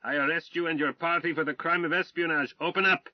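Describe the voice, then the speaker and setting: Casting: man performing an arrogant older colonel, loud and high, barking orders, dull dry audio. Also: fairly even intonation, fast talker, no room sound, close to an everyday voice. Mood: blustering. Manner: pompous, shouting commands. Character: blustering older male Russian colonel, arrogant, bullying.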